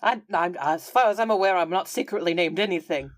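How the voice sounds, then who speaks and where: A woman's voice: fairly low pitch, a little fast, no room sound, clean studio sound. A woman casually improvising as a player in a tabletop role-playing game, moving between narration and dialogue.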